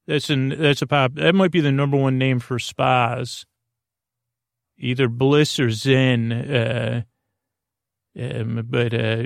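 A man hosting a sleep podcast, wandering through a silly, halting bedtime monologue full of restarts and asides. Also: medium pitch, vocal fry, no room sound, studio quality. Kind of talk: rambling, meandering bedtime monologue.

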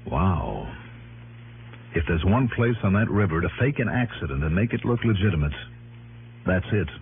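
Shrewd wry investigator, low pitch, animated delivery, smooth talk, radio drama, tinny vintage recording. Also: male voice, normal speed, a little hiss or hum. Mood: probing. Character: shrewd, easygoing male freelance investigator and keen fisherman. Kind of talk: 1960s radio drama acting and first-person narration.

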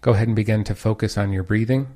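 A man leading a guided meditation with calm, measured instructions and pauses between phrases. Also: lowish voice.

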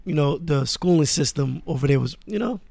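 A man born in France and raised in Queens, speaking as a guest in a relaxed, casual interview. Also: on the high side, a little fast, creaky, no room sound. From born in France, raised in Queens, New York. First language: Arabic. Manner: relaxed, laid-back, with many fillers. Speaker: guest, music manager.

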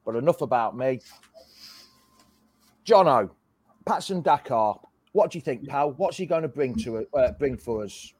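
A man hosting a casual fan chat on a video call, his voice hoarse, greeting each guest by name in a chatty way. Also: mid-range voice, expressive, moderate pace, long pauses, darkish tone, no echo. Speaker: host.

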